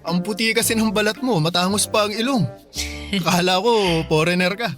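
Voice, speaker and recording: A man's voice: sits high, normal speed, fairly bright tone, faint music behind. A man playing a friendly, chatty young Filipino worker in a radio drama, with a Filipino accent. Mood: sociable. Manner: casual, sociable.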